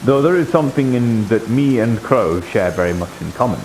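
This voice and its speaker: A man's voice: mid-range voice, expressive, a little fast, a little mellow. A man improvising roleplay dialogue in a hesitant, thinking-aloud manner. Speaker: streamer role-playing in a VR game. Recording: clearly noisy with rain-like hiss.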